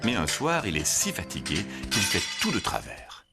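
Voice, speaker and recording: Expressive man reading a children's story, music behind. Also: low voice, normal speed, vocal fry. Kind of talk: scripted children's story narration.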